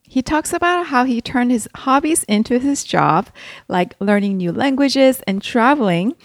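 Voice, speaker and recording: A woman with a fairly high voice hosts an interview, sounding upbeat and laughing easily. The recording is dry and close, with no room sound around her. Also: no echo. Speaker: podcast host. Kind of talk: scripted intro then interview.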